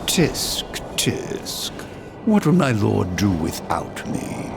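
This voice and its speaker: A man's voice: lowish voice, big pitch swings, drawn-out words, creaky, music in the background, heavily acted. A male actor playing a haughty, sly undead royal chancellor in a fantasy game, with a pompous, mock-courteous, theatrical drawl. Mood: smug, sly. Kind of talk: scripted fantasy game acting.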